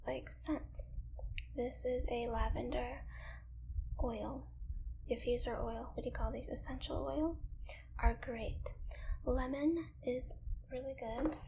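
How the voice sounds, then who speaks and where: A woman delivers gentle ASMR instruction in a breathy, whispery voice. It sits high and crackles with fry, pausing hesitantly between thoughts. Noticeable noise runs behind her. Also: fairly even intonation. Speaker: ASMR video creator. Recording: clearly audible background noise.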